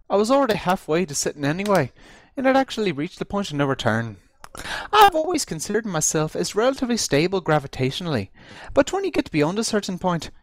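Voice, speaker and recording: Animated male storyteller with swooping pitch. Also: no echo. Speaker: amateur reader of a comic story. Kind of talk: dramatic reading of a humorous first-person story. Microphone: close computer mic on voice chat.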